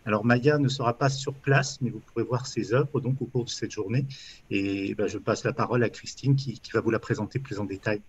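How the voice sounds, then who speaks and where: A man's voice: lowish voice, fairly quick, slightly rough, muffled recording. A man hosting, giving a spoken introduction to an interview. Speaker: host, event organizer.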